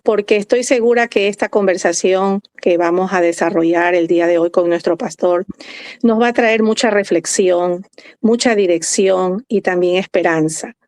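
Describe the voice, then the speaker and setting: A woman's voice: on the low side, normal speed, no room sound. A woman hosting a faith podcast, formal in tone and asking reflective questions with some hesitation. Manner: formal, warm introductions, hesitant fillers. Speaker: host.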